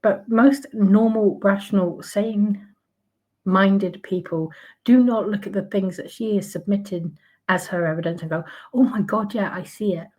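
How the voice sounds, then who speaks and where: Croaky-voiced woman ranting. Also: medium pitch, a little slow, darkish tone. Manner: outraged, sarcastic, with frequent fillers and restarts. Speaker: commentary vlogger. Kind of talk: casual ranting commentary.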